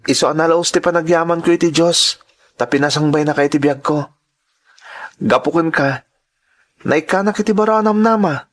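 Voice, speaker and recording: A man's voice: a little high, normal speed, pauses often, lightly acted. A man with a Filipino Ilocano accent playing an earnest, grateful young working student in a sentimental drama. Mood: solemn, heartfelt. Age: young adult. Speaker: drama actor. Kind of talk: sentimental radio-style drama acting.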